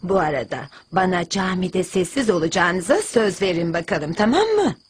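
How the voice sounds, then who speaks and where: An actress playing a young big sister in a cartoon dub, explaining and gently commanding. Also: female voice, fairly low pitch, expressive, moderate pace, breathy edge. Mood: firm.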